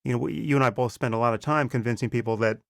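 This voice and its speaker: A man hosting a podcast interview, speaking in a measured, thoughtful way with some stammered restarts. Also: medium pitch, fairly even intonation, vocal fry, a bit bright, dry recording, clean studio sound. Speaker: podcast host. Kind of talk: conversational interview.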